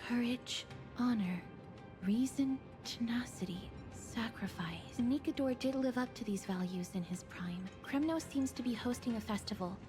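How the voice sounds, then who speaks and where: A woman playing a composed, thoughtful young companion in a video game, speaking in a measured, earnest and articulate way. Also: on the high side, music in the background, close to an everyday voice. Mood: calm, thoughtful. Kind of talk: video game character dialogue.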